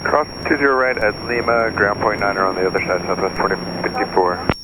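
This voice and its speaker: A man's voice: fairly low pitch, level tone, fast, heavy background noise. A man, an airline pilot, giving brief readbacks over an aviation radio. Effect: aviation radio transmission.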